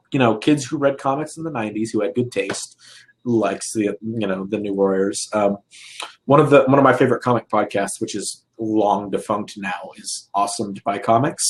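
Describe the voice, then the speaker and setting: A man's voice: lowish voice, moderate pace, low-quality recording. A man hosting a show in a casual, informal chat, talking conversationally and qualifying his points as he goes. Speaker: show host. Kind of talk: casual chat about comics.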